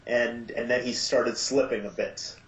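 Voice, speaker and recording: A man's voice: medium pitch, steady tone, a little slow, slight background noise. A man chatting casually on a podcast, musing at length with many restarts and fillers. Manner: thoughtful, rambling with many restarts. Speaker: podcast guest. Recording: roomy home podcast audio.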